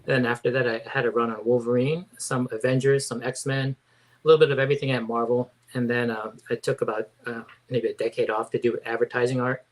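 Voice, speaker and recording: A man's voice: medium pitch, flat delivery, brisk, low-quality recording. Hesitant man in his fifties. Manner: hesitant, many fillers and restarts. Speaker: comic book artist guest. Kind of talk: casual interview.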